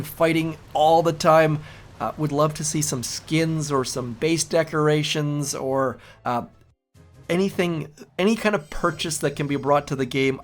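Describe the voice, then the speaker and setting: A man's voice: on the high side, slight background noise. Chatty youthful-voiced man, gameplay host. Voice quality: youthful-sounding voice. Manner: chatty, rambling, repeats words. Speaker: game channel host. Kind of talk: casual gameplay commentary.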